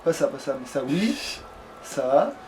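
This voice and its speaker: A man's voice: on the high side, sing-song, takes it slowly, darkish tone, slight background noise. A man chatting casually as a let's player, in a crude, slangy, grumbling way. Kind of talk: casual gaming chat.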